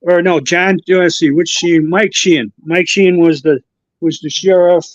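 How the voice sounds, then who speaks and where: A man with a slight Chicago accent, a guest co-host who talks haltingly with many uhs and restarts. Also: sits high, fairly even intonation, no echo, muffled recording. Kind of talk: casual conversation.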